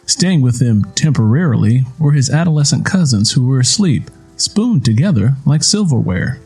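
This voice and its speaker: A man reading scripted literary fiction as an audiobook narrator, in a measured, solemn storytelling manner. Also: mid-range voice, sing-song, a little mellow, breathy edge, soft background music.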